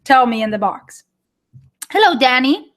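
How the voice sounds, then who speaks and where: Israeli woman in her thirties, webinar trainer, chatty and warm-pitched, laughs easily. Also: big pitch swings, a little slow. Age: 30s. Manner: chatty, laughs often, talks to viewers by name. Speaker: online marketing trainer. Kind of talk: live webinar teaching.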